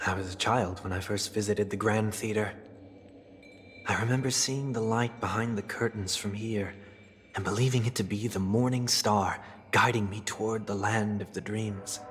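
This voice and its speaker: An actor playing a melancholic, refined young man in a game cutscene, speaking in measured, poetic, wistful phrases. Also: on the low side, steady tone, fairly bright tone, slight background noise, lightly acted. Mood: wistful, solemn. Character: melancholic young male fallen idealist, refined and soft-spoken. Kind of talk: dramatic video game cutscene acting. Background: music.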